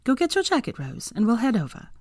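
Lady doing a caring, determined young woman in a story, pitched up, dry sound. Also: wildly swooping pitch, quick pace, darkish tone, no room sound. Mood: gentle.

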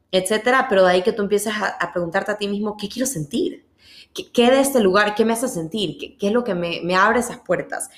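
Female podcast host, Ecuadorian accent, lowish pitch, emphatic conversational storyteller, recorded in an echoey space. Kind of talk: casual personal storytelling in a solo podcast. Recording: echoey, roomy sound.

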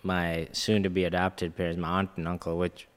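A man's voice: low-pitched, flat delivery, normal speed, in a large hall. A man in his late thirties, born in India and raised in Ghana, earnestly telling his life story as a podcast guest. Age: late 30s. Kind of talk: casual interview storytelling.

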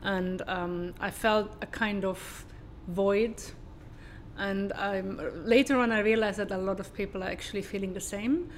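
Flat-toned woman with a German accent. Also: mid-range voice, a little slow, a little hiss or hum. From southern Germany. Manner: hesitant, many fillers and restarts. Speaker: guest, sustainability consultant. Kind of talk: casual interview.

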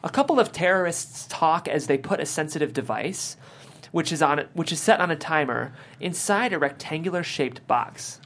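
A woman's voice: sits low, expressive, normal speed, slight background noise. A woman reading scripted stage directions as narrator of a table read.

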